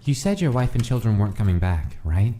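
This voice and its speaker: A man plays a guarded young skeleton brother in a dramatic comic dub. The voice is lowish and very dark, with a subdued, hesitant and terse delivery. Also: sing-song, brisk, a little hiss or hum. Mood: subdued concern.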